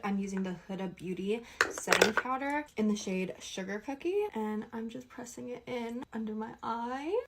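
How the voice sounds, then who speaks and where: A Canadian woman chatting casually through a makeup tutorial, playful and slangy, with statements that rise like questions. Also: a little high. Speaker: beauty content creator.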